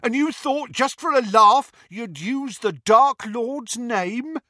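A man's voice: very high-pitched, expressive, slow pace, sharp tone, no echo, clean studio sound, pitched above the performer's own voice, heavily acted. A man voicing a sly, jeering male henchman in an audiobook story, with a mocking, taunting manner.

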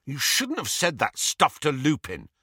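A man's voice: on the high side, huge pitch swings, a little slow, sharp tone, slightly rough, no echo, studio quality, voice pushed up, exaggerated voice. A man voicing a blunt, protective teenage boy scolding a friend in an audiobook story. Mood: reproachful.

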